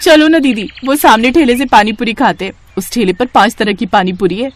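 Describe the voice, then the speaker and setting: A woman's voice: a little high, brisk, natural voice. A woman voicing a bold, ambitious young sister-in-law turned street vendor in a cartoon, with a coaxing manner. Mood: curious.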